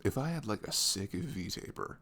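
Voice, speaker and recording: A man playing a sweet, caring boyfriend in an intimate ASMR roleplay, rambling casually and playfully with breathy asides that drop into whispers. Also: fairly low pitch, big pitch swings, moderate pace, bright, forceful tone, slight background noise. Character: sweet, caring boyfriend chatting the morning after. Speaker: audio roleplay performer.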